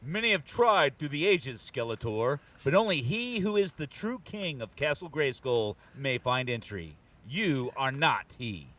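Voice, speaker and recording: A man's voice: mid-range voice, big pitch swings, a little slow, hardly any pauses, fairly bright tone, distant mic. A man playing an earnest, mighty warrior hero, declaiming in archaic phrases at a comic book table read. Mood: calm confidence. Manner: declamatory, archaic heroic diction. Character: noble, earnest muscle-bound barbarian hero, strongest man around.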